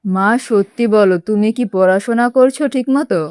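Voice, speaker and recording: A woman voicing a gentle, concerned father advising his daughter in a cartoon. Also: mid-range voice, moderate pace, clean tone, no echo, clean studio sound, close to an everyday voice.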